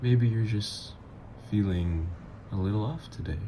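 A man's voice: lowish voice, measured pace, background noise, natural voice. A man voicing a teasing supervillain ex. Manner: teasing, playful, flirtatious. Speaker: voice actor. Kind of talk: ASMR roleplay audio.

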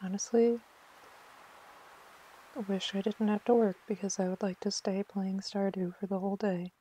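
A woman's voice: medium pitch, little pitch movement, normal speed, warm tone, husky, low-quality recording. A woman giving casual, chatty commentary on a live game stream, talking to herself with mock exasperation.

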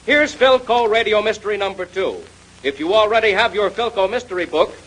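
A man's voice: high-pitched, bright, forceful tone, husky, low-quality recording. A man with an American accent announcing and narrating in 1930s radio style.

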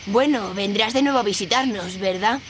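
A woman's voice: mid-range voice, moderate pace, slight background noise, lightly acted. A woman playing a friendly, admiring young companion in a fairy-tale game. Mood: admiring, hopeful. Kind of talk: animated video game dub.

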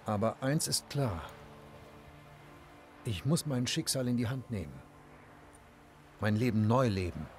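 A man's voice: mid-range voice, lively intonation, unhurried, pauses often, close to an everyday voice. An actor voicing a proud, weary nobleman in a German game dub, speaking in a somber, heartfelt way. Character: proud red-haired nobleman, weary, grieving. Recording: studio dub over loud music.

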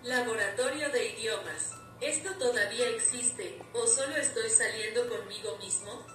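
A higher-pitched woman talks through a wry, opinionated commentary. She sounds set back from the mic in a roomy space. Loud music plays behind her voice the whole time. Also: moderate pace, recorded from a distance. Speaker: language learning vlogger. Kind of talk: scripted voice-over commentary.

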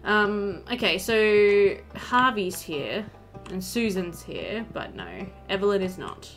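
Animated young woman doing game commentary. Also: mid-range voice, slow. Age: young adult. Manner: chatty, reads game dialogue aloud playfully. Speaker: gaming video host. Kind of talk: casual let's play commentary. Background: game music.

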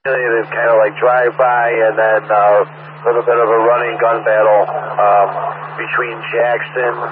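A man's voice: mid-range voice, level tone, unhurried. A man making police radio calls as an officer over a scanner. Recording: tinny, noisy narrowband police scanner.

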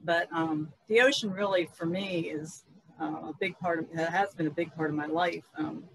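A woman's voice: low voice, steady tone, normal speed, slight background noise, low-quality recording. Older female podcast guest, easygoing conversational delivery, indoors on a video call. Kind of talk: casual chat.

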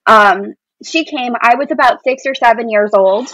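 An American woman in her thirties speaks in a somewhat high voice with very little room sound. She is a podcast guest, telling personal stories casually and with enthusiasm. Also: normal speed, a bit bright, dry recording, low-quality recording. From Boston area, United States. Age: 30s. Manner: enthusiastic, informal, peppered with "like".

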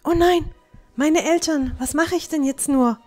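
A woman's voice: high voice, measured pace, darkish tone, soft background music. A woman playing an earnest, friendly teenage village girl in a fantasy audio drama. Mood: panicked.

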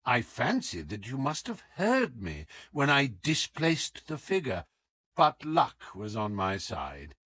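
A man voicing a suave, affable gentleman detective with a polite, teasing manner in an audiobook. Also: medium pitch, husky, dry recording, full character voice.

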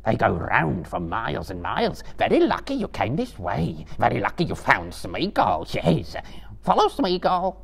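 A man voicing a cringing, fawning creature in a dramatic book reading, hoarse and whining, with pleading, repetitive speech. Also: mid-range voice, huge pitch swings, normal speed, pitched above the performer's own voice, heavily acted. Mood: eager, anxious. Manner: pleading, repetitive, broken third-person speech.

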